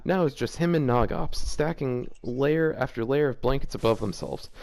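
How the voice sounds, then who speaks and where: A man's voice: mid-range voice, normal speed, vocal fry, darkish tone. A man hosting a show, reading fanfiction aloud casually and breaking off for amused, mocking asides. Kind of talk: casual reading with joking commentary.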